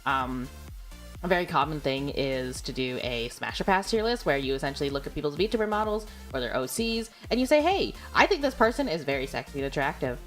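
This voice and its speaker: A woman's voice: low voice, animated, fairly quick, soft background music. A young adult woman streaming, chatting casually and rambling with lots of fillers and giggles. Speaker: VTuber streamer. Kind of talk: casual livestream chat.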